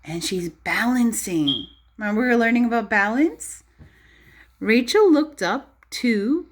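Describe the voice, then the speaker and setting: Female early-years teacher, interactive storytime read-aloud, very lively intonation, unhurried pace, clearly pitched at little kids. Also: a little hiss or hum. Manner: expressive, child-directed, often questioning and explaining. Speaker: preschool or early primary teacher.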